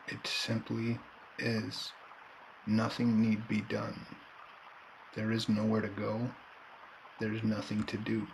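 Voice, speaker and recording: A man leading a guided meditation in a calm, unhurried manner, leaving long pauses between short phrases. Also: lowish voice, vocal fry, background noise. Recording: background nature ambience and birdsong. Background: nature and bird sounds.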